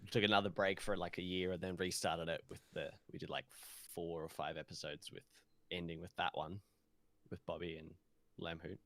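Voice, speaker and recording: Young man, slightly deep, sharp bright voice, casual podcast co-host. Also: little pitch movement, moderate pace, no echo. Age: young adult.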